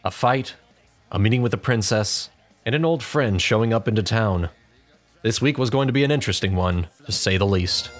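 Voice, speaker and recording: A man's voice: lowish voice, normal speed, faint music behind. A man narrating a scripted story in the second person.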